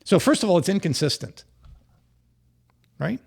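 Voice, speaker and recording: A man co-hosting a podcast, arguing politics in quick, interrupting bursts. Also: high-pitched, sing-song, gravelly, darkish tone, breathy edge. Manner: interrupting, argumentative, fast bursts. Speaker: podcast co-host. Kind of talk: political debate chat.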